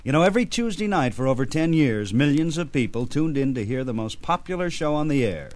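A man hosting a radio program, narrating in an announcer's style as he introduces vintage show highlights. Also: animated, brisk, darkish tone, no room sound. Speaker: radio host.

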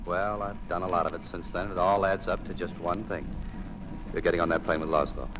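A man's voice: deep voice, steady tone, fairly quick, lightly acted. A man playing a tough, resolute hero, terse and commanding, in 1940s radio drama style. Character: tough, resolute, self-sacrificing American hero. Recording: noisy 1940s radio broadcast recording.

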